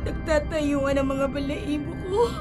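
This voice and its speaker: An actress as a shrill, terrified, stammering young woman. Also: steady tone, moderate pace, lightly acted. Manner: panicked, stammering. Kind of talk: radio drama acting. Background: loud dramatic music.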